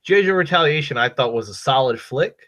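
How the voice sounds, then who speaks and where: A man with a Boston accent hosting a podcast, giving loud, profane, emphatic opinions in casual chat. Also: mid-range voice, animated, quick pace. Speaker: podcast host. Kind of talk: casual chat about movies and toys.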